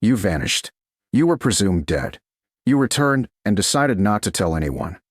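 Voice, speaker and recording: A man voicing a stern, wounded duke in a romance audiobook, speaking in terse, sharp, demanding lines. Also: fairly low pitch, very animated, moderate pace, slightly rough, dry recording, clean studio sound.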